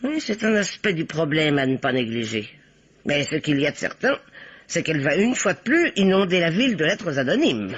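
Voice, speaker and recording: Bossy, husky spinster sleuth, wildly animated. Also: female voice, low-pitched, normal speed, exaggerated voice. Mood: self-satisfied. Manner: theatrical, emphatic, authoritative. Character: bossy older spinster playing amateur detective, self-assured. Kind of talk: old French radio play acting. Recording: dull, lo-fi old radio recording.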